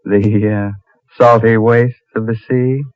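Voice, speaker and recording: Low-voiced, sardonic horror host. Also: male voice, little pitch movement, slow, dry recording. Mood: ominous. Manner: mock-welcoming, chuckling, macabre puns. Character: sardonic, ghoulishly genial male horror host. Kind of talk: 1940s radio horror drama hosting. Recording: dull old radio recording, loud music.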